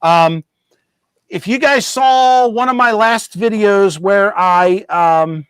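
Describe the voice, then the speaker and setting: High-voiced man rambling over his hobby bench. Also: slow, fairly bright tone, clear, resonant tone, no echo. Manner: rambling, self-correcting, joking. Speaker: host of a model railroad show. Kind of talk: casual live-stream chat.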